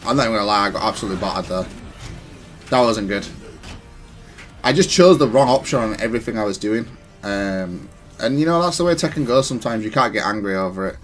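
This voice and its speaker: A man giving casual, analytical commentary on his own gameplay, self-critical but matter-of-fact and even-tempered. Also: lowish voice, fast, faint music behind. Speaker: gaming content creator commentating on own matches.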